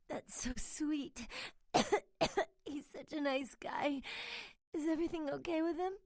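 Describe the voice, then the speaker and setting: Casting: ailing young-sounding call girl, high voice, coughing, halting, sweet and wistful, dry game recording. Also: no echo. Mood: weak, confused. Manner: halting, faltering, trailing off. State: sick, coughing, weak. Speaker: game character. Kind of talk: video game voice acting.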